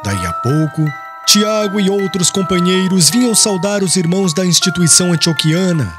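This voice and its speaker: A man's voice: high-pitched, lively intonation, moderate pace. A man narrating a religious audiobook in a solemn, formal storytelling style. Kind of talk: scripted literary religious narration. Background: glockenspiel-like music.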